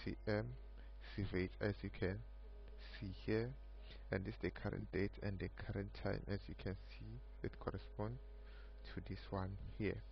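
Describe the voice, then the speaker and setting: A man giving an unscripted screen-recorded software demo of his own project, speaking haltingly in short broken phrases. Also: fairly low pitch, level tone, vocal fry. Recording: muffled lo-fi mic with background hum.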